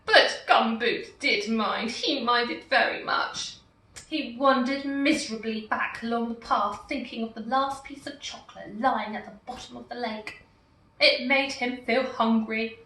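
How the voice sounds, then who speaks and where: A woman reading a picture book aloud in a slow, expressive storytelling manner. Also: fairly high pitch. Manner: slow, expressive, warmly animated. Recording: echoey room, far from mic.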